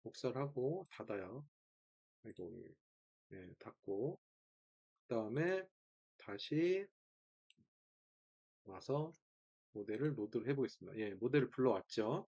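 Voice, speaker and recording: A man's voice: medium pitch, sing-song, a little slow, vocal fry, long pauses, warm tone, slightly rough. A man presenting an informal screen-share tutorial, thinking aloud in short fragments and repeating key words, recorded with dull sound. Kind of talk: informal screen-share demo, talking through steps.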